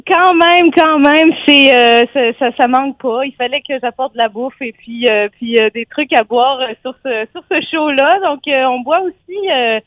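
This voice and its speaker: A woman's voice: high voice, moderate pace, few pauses, fairly bright tone, no room sound. A woman with a Quebec French accent, a guest chef and sommelier on a phone interview, talking in a bubbly way with frequent laughs and fillers. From Quebec, Gatineau valley. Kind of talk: phone interview on local radio. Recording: tinny phone line, dry.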